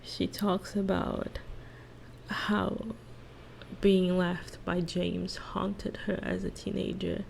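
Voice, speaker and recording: A woman speaking softly in an ASMR monologue, hushed and halting, lingering on phrases and at times slipping into a whisper. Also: on the low side, flat delivery, vocal fry, a little hiss or hum, rough recording. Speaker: ASMR creator and host. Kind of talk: soft-spoken ASMR role-play monologue with song analysis.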